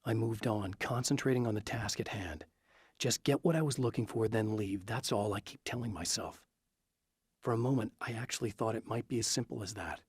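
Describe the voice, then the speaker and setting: A man playing a determined, brooding hero in a supernatural thriller game, speaking in an earnest, measured, guarded way with a husky edge. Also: fairly low pitch, darkish tone, no echo, clean studio sound. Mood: brooding, resolute. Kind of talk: dramatic game acting, introspective monologue.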